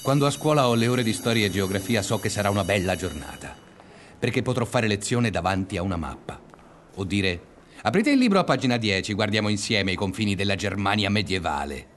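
An actor playing a reflective, pedantic schoolteacher who narrates a confessional radio-drama monologue in measured, deliberate phrases. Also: male voice, lowish voice, very animated, fairly bright tone, a little hiss or hum. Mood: reflective, fond.

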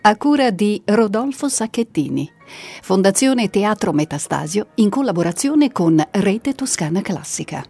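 A woman's voice: mid-range voice, lively intonation, unhurried, darkish tone, faint music behind. A woman reading a scripted radio announcement. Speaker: programme announcer.

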